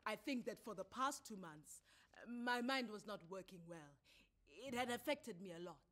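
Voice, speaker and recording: A woman voicing a defensive, stubborn husband with a halting, insistent manner in a story reading. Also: medium pitch, animated, a bit bright, close to an everyday voice. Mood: evasive. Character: defensive husband and father, stubborn, forcedly calm. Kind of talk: dramatised short story reading.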